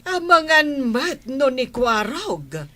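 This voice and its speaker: Bossy, sharp-voiced village wife. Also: female voice, high-pitched, sing-song, slow, full character voice. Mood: indignant. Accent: Ilocano Filipino. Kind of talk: Filipino radio comedy drama acting.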